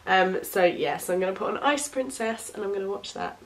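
A woman's voice: mid-range voice, animated, fairly quick, slight background noise. A young woman, chatting casually to camera in her living room while congested from a head cold. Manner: chatty, giggly. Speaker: vlogger. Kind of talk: casual vlog chat. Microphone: handheld camera at arm's length.

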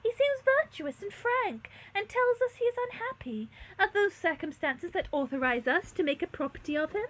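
A woman's voice: extremely high pitch, sing-song, moderate pace, few pauses, fairly bright tone, pitched above the performer's own voice, heavily acted, rough recording. A woman voicing a composed, bold young princess in a gothic novel, formal and imperious. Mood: reproving. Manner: imperious, formal, archaic diction. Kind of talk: gothic novel read-aloud.

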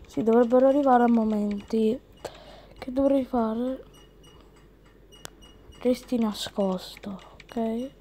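A woman's voice: a little high, steady tone, slow pace, long pauses, dark tone, a little hiss or hum. A woman chatting casually through a game as a video host, reading on-screen text aloud as she comments.

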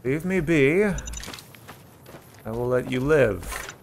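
A man's voice: medium pitch, expressive, slow, vocal fry, slight background noise. A man giving casual playthrough commentary on a video game, talking cheekily to the enemies as he plays. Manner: talks to game enemies, mock-taunting, swearing. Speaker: gameplay streamer and mod author.

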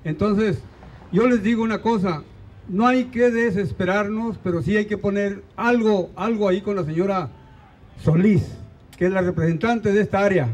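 A man's voice: high voice, normal speed, a little mellow, low-quality recording. An older man from Mexico with a Mexican accent, giving an earnest, rousing rally speech outdoors into a handheld mic. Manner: earnest, rousing, asks pointed questions. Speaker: rally speaker. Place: outdoors in a park.

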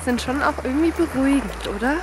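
A woman in her early thirties, a mother chatting casually to a handheld camera on a walk by a lake outdoors and calling out loudly to her dog now and then. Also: high-pitched, fast talker, noisy background. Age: early 30s. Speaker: vlogger and mother. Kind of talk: casual walk-and-talk vlog. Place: outdoors on a lakeshore beach. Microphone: handheld camera at arm's length.

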